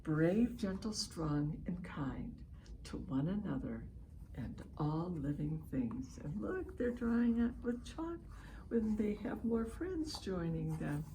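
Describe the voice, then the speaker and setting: Expressive, slow-paced woman reading to children. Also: fairly low pitch, a little hiss or hum, poor audio. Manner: encouraging, expressive, lingers on phrases and prompts listeners. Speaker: read-aloud host for children. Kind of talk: children's storybook read-aloud with questions to young listeners. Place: at home, online session.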